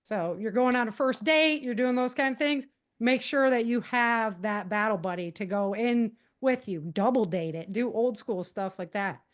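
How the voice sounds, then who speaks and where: Higher-voiced woman, casual interview guest. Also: normal speed. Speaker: guest author giving safety advice. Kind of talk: casual, conversational podcast interview.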